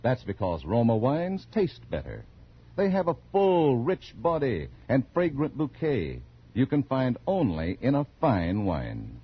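A man working as a commercial announcer, reading a 1940s radio advertisement in a husky voice. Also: medium pitch, wildly swooping pitch, measured pace. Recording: muffled 1940s radio broadcast.

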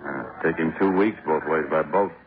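An actor playing a stern, terse police lieutenant in a 1950s radio drama. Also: male voice, rumbling low, moderate pace. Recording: noisy, tinny old radio recording.

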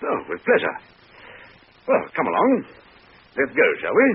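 An actor playing a dry, polite investigator in a 1950s radio drama, courteous and understated. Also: male voice, medium pitch, wildly swooping pitch, a little slow. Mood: formal, courteous. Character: dry, polite, official British male investigator. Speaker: supporting actor. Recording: muffled, hissy 1950s radio recording.

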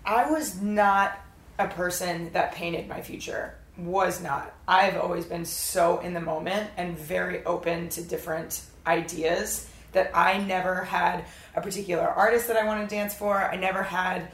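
A woman in her early thirties answers questions in a relaxed interview. Her voice sits a little low, and she talks candidly and informally with an upbeat outlook. The recording is clearly echoey. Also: unhurried. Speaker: podcast guest, dance teacher. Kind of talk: casual interview chat. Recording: roomy, echoey sound.

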